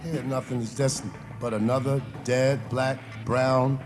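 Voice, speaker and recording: A bloodthirsty, sardonic talking bullet played by a man goads in an audio drama. The mid-pitched voice rings out in long reverb. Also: little pitch movement, unhurried, darkish tone, natural voice. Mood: goading.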